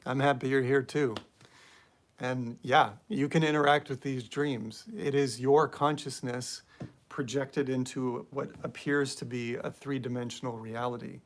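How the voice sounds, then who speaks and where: A young adult man hosting a spiritual live stream, talking in a relaxed, rambling way with many fillers and reading viewer comments aloud. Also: mid-range voice, unhurried, a little hiss or hum. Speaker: spiritual channel host.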